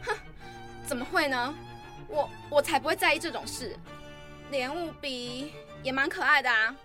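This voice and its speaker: A woman's voice: high voice, normal speed, sharp tone, soft background music. A woman playing a self-conscious, petulant schoolgirl in an audio drama, whining and pleading. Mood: defensive. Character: self-conscious schoolgirl upset about her big nose, whiny.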